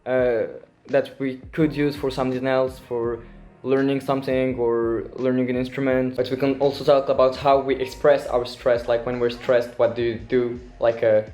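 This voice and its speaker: A teenage young man with a Moroccan accent talks casually and hesitantly. His pitch is medium, and music plays behind his voice. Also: little pitch movement, moderate pace, a little mellow. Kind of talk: casual chat.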